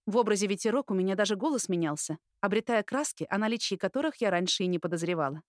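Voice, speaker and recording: A woman's voice: medium pitch, lively intonation, fast talker, no echo, clean studio sound. A woman reading scripted first-person fiction as an audiobook narrator.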